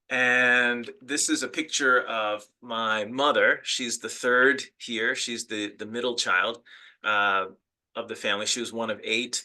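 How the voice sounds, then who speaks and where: A man's voice: fairly low pitch, pushed and bright, low-quality recording. A middle-aged man with an American accent giving an online lecture, telling stories earnestly with fillers and restarts. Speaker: academic speaker.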